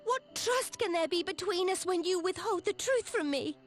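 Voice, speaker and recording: A very high, youthful voice as a resolute young sovereign. She speaks with stately, archaic formality, as in a fantasy game cutscene. Music plays underneath. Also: animated, moderate pace. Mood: earnest, reproachful. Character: young female sovereign, regal, resolute and earnest. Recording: orchestral music behind the voice.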